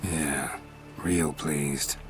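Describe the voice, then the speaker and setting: An actor playing a gruff, laconic monster hunter in a video game, dry and deadpan with clipped phrases and a gravelly voice. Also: male voice, rumbling low, very slow, music in the background, heavily acted.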